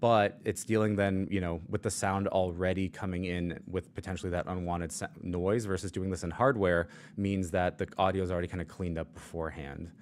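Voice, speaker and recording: Low-voiced man demoing mic gear. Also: flat delivery, fairly quick, few pauses, slight background noise. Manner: casual, explanatory, many fillers. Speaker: product demo presenter. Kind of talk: live product demo and Q&A. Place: at a desk. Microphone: studio mic on shock mount and arm.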